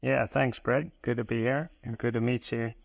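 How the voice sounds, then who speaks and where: A man from South Africa with a South African accent, in his thirties, telling his story as a podcast guest in an easy, unhurried way. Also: mid-range voice, vocal fry, no echo. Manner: relaxed, even storytelling with pauses. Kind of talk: casual interview.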